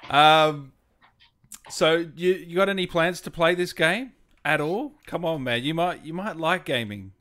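A man's voice: fairly high pitch, measured pace, sharp tone. A man interviewing a guest casually, friendly and joking, over a video call.

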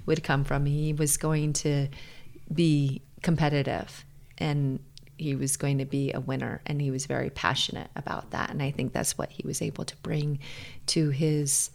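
A middle-aged American woman speaking earnestly and in a measured way as a guest in a reflective personal interview. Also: low voice, little pitch movement, vocal fry, darkish tone. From Hawaii, USA.

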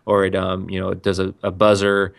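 Low-voiced man in rambling casual chat. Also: completely flat delivery, brisk, vocal fry. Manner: rambling, restarts sentences often.

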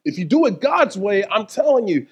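A man's voice: high-pitched, sing-song, moderate pace, breathy edge, dull audio. A male pastor preaching in a church service, playful and joking, calling out to the congregation. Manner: playful, jokey, calls to the congregation. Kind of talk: sermon.

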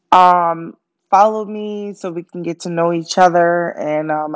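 Deep-voiced jamaican woman, young, rambling friendly podcast chat, kinda muffled lo-fi sound. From Jamaica, raised in Brooklyn. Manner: chatty, friendly, many fillers and "okay?" check-ins. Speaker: podcast host. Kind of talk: casual solo podcast monologue.

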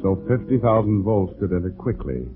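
Hard-boiled private eye, deadpan and wry, deep register, 1940s radio sound. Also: male voice, moderate pace. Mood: wry, grim. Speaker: lead actor and narrator. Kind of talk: 1940s radio detective acting, first-person narration. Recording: tinny 1940s radio broadcast with music.

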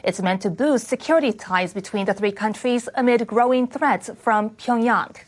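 A woman's voice: fairly high pitch, moderate pace. A woman reading scripted international news for radio as a newsreader. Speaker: news reader.

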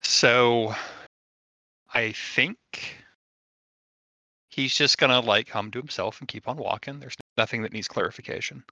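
A man playing a tabletop roleplaying game, casually describing his character's thoughts in a halting, thinking-aloud way. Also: mid-range voice, sharp tone, dry recording, lo-fi sound.

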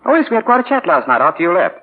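A man's voice: high voice, very animated, very fast, slightly rough, full character voice. Smug young man, old phone-like radio. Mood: mocking. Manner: mocking, falsely courteous, theatrical. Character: smug, insinuating young man, a novelist's spoiled son. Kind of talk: 1940s radio acting. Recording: muffled, scratchy 1940 radio broadcast.

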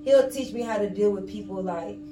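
Quick animated woman chatting on a podcast, slangy, roomy echo, mic kinda far. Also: mid-range voice, music in the background. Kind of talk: casual chat. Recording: echoey room, mic at a distance.

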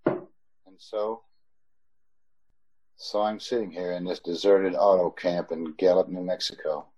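Guy acting as a haunted young man in an old radio play, husky voice, slow and stammering, distraught. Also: low voice, steady tone, a little mellow, muffled recording. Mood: haunted, brooding. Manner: halting, stammering.

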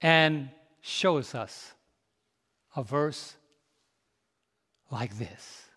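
A man in his fifties preaching a sermon, quizzing the congregation with questions and swinging from soft, slow reflection to loud, rising exhortation. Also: medium pitch, animated, breathy edge. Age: 50s. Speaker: pastor. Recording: long reverb in a large hall.

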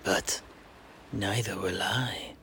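A man playing a scornful dark elf corsair captain in a fantasy audio drama, with a gravelly, whispery hiss and a menacing delivery. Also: on the low side, fairly even intonation, takes it slowly. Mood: scornful menace.

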